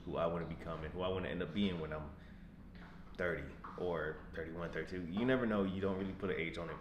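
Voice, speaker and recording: A man in his mid-twenties, talking casually to camera as a vlogger, rambling and repeating himself in a relaxed way. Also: deep voice. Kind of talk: casual vlog talk to camera. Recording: echoey room with background noise.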